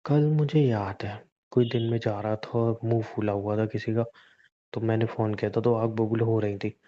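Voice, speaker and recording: A young man with a soft-edged, dark voice talks casually to his partner. He is teasing and unbothered, heard on a dull phone-call recording. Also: fairly low pitch, steady tone, moderate pace. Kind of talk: casual relationship chat.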